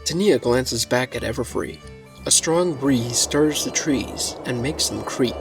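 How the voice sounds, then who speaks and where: A man reads a scripted fantasy story as narrator. His voice sits at a middling pitch, with faint music running behind it. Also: a little slow.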